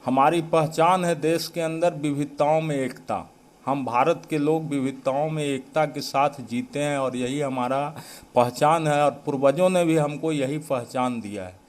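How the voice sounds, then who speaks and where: A man's voice: a little high, unhurried. A man with an Indian accent making an earnest, declarative political statement to the press. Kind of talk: press sound bite.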